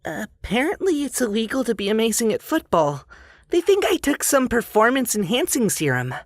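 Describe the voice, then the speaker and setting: A woman's voice: on the high side, big pitch swings, moderate pace, no echo, clean studio sound. A woman voicing a vain, self-satisfied imprisoned football prodigy in a parody skit. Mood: smug.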